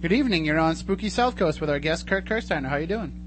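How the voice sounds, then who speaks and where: A man's voice: on the high side, animated, fast talker. A man hosting a radio show, chatting casually with guests and callers. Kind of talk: casual interview chat. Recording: clear background noise and hum.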